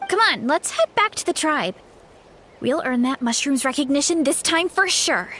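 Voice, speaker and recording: A woman voicing an earnest, gentle, self-doubting young heroine in a video game. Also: sits high, lively intonation, normal speed, a little hiss or hum. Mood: grateful, determined. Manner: earnest, hesitant.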